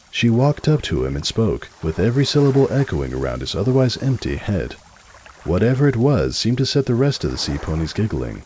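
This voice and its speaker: A man narrates a story in a dramatic style. His voice is low and moves expressively up and down, over faint background noise. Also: dark tone.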